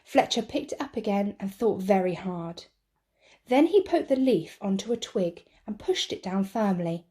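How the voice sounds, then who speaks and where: A woman reading a picture book to children in an expressive, measured storytelling style. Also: mid-range voice. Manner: measured, deliberate phrasing.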